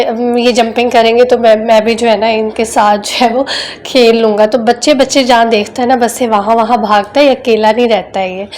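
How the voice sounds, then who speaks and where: A woman's voice: fairly high pitch, darkish tone. A young woman, chatting casually in a homely vlog voice-over while nursing a sore throat. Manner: chatty, homely, frequent filler phrases. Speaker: vlogger.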